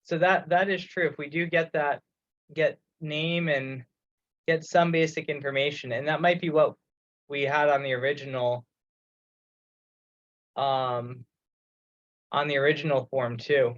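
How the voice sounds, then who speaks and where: A man's voice: on the high side, steady tone, a little slow, pauses often, a bit bright. A man speaking casually in a meeting as an organizer, thinking aloud with some hesitation. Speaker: project organizer on a committee call. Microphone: remote teleconference call. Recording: dull, lo-fi conference call audio.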